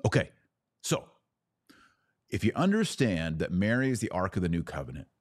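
A man hosting a podcast, giving an emphatic theological teaching talk with rhetorical questions and dramatic pauses. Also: deep voice, sing-song. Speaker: podcast host.

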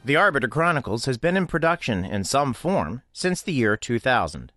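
A man's voice: medium pitch, very animated, moderate pace, over music. A man reading scripted promotional narration as the creator of a series.

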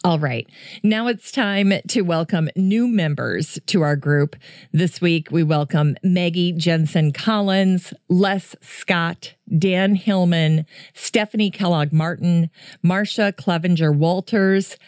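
A middle-aged woman hosting a gardening podcast in a chatty, friendly way, her voice deeper than usual after a coughing fit. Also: slow, darkish tone, no echo, clean studio sound. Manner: chatty, friendly listener address. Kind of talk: casual solo podcast talk.

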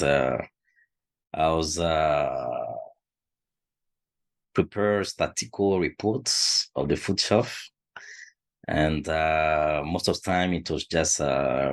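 A middle-aged man from Congo, not a native English speaker, answering interview questions with many hesitations and restarts. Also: sits low. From Democratic Republic of the Congo. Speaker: food shelf supervisor.

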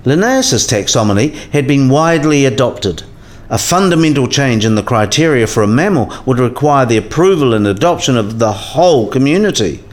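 A man's voice: medium pitch, lively intonation, normal speed, natural voice. A man playing a patient, scholarly professor in a radio play, explaining things in a didactic way.

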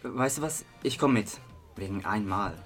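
A man's voice: on the low side, moderate pace, faint music behind, lightly acted. A man playing a young party guest called Aaron in a contemporary radio play, getting giddily stoned on his first joint. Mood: easygoing.

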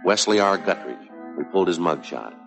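Stoic American cop narrator: brisk, low, terse, businesslike, vintage crime-drama soundtrack. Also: male voice, vocal fry, exaggerated voice. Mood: flat, businesslike. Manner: clipped, deadpan delivery. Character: stoic, businesslike male police detective sergeant narrating cases. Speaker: narrator and lead detective. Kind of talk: 1950s police drama narration, terse and factual. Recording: 1950s TV soundtrack with music behind.

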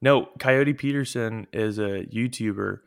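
Booming animated man on a podcast. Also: unhurried, creaky. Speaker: podcast co-host. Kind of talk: casual chat. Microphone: own microphone on a remote podcast recording.

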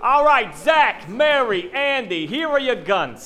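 An actor playing an easygoing, slightly halting spy quartermaster in a comedic radio play, casual and offhand. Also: male voice, extremely high pitch, big pitch swings, full character voice. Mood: breezy, offhand. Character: hesitant, easygoing male spy-agency quartermaster.

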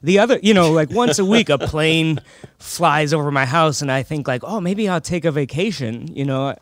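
A man with a slightly high-pitched voice talks as a comedian guest, bantering casually and dropping jokes into his stories. The recording is close and dry. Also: animated, normal speed, no echo. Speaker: comedian guest on a radio show.

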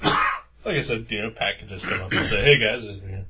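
A man's voice: lowish voice, a little fast, background noise. A young man co-hosting a podcast in casual chat, rambling with lots of fillers. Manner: rambling, hesitant, many fillers. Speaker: podcast co-host.

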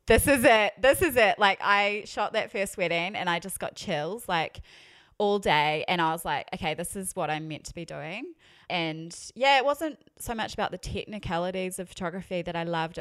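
A woman's voice: lowish voice, fairly bright tone, no room sound, clean studio sound. A woman in her thirties with a New Zealand accent, chatting casually as an interview guest. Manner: chatty, many ums and likes. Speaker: guest, wedding photographer.

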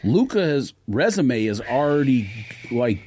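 A man's voice: medium pitch, sing-song, slow, darkish tone, a little hiss or hum. A man co-hosting a podcast, debating sports casually in slangy, dismissive, argumentative talk. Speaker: podcast co-host.

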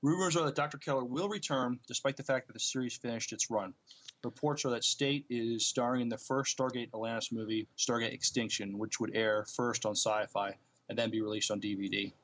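A man's voice: mid-range voice, fairly even intonation, fairly quick, fairly bright tone. A man co-hosting a podcast, chatting casually and partly reading from notes. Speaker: podcast co-host.

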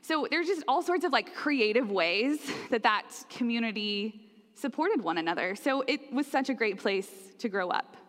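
A young woman preaches a sermon, her voice set a little high and friendly, with easy fillers and a chatty tone. A long reverb trails her words through the church sanctuary. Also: animated, normal speed, fairly bright tone. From Oklahoma. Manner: friendly, conversational with frequent fillers.

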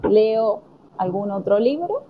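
An Argentine woman answering conversation questions slowly and deliberately, pausing with fillers. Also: medium pitch, lively intonation, muffled recording, a little hiss or hum. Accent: Argentine Spanish. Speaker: interviewee. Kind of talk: slow, careful conversation answers.

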